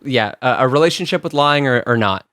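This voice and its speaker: A man's voice: mid-range voice, lively intonation, brisk, bright, forceful tone, no room sound, studio quality. Upbeat man reading out quiz items. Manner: reads quiz items in a list, laughs easily. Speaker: podcast co-host running a quiz. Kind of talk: casual podcast chat.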